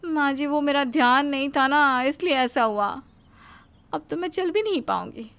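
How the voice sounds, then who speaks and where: Woman acting a high-pitched, whiny lazy young daughter-in-law. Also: normal speed, a bit bright. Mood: wheedling excuses. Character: lazy, sly young daughter-in-law full of whining excuses. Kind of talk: animated moral storybook acting.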